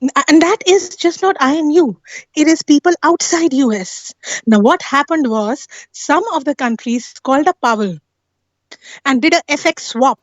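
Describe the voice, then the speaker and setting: A woman's voice: sits high, animated, moderate pace, no echo, dull audio. A woman explaining things in casual discussion, insistent and often checking that the listener agrees. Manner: insistent, often seeks agreement with tag questions. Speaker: guest on a live talk stream.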